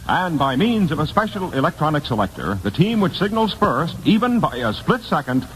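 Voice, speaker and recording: A man's voice: mid-range voice, slight background noise, poor audio. An American man announcing a 1950s radio quiz show from a script, formal and emphatic, on an old broadcast recording.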